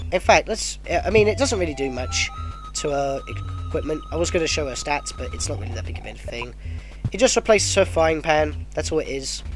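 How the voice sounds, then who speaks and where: A man with a stuffy nose from a cold, casually commenting on his gameplay as the host, chatty and correcting himself as he goes, getting excited at battles. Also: fairly high pitch. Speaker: let's play host.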